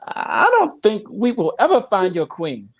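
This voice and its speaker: A woman's voice: medium pitch, wildly swooping pitch, unhurried, full character voice. A woman playing a loyal, frank male sidekick to a young king in a comedy table read. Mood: earnest, weary.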